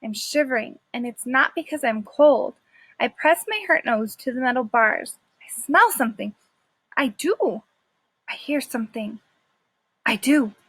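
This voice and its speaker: A woman's voice: fairly high pitch, expressive, unhurried, a bit bright, slightly rough, no echo, full character voice, rough recording. A woman voicing a scrappy, sarcastic little male dog who tells his own story in a wry, dramatic storybook manner. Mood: anxious.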